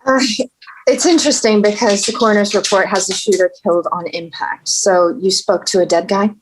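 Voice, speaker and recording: A woman's voice: mid-range voice, fairly even intonation, fairly quick, lightly acted, rough recording. A woman acting a dry, skeptical female detective. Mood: dry, probing. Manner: dry, sarcastic questioning. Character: cool, skeptical female homicide detective, smart and direct. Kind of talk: table read of a TV crime drama.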